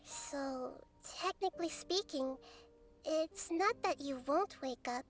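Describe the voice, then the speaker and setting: A woman's voice: high voice, slow pace. Wise young girl goddess. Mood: composed. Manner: composed, gently stern. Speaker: game character.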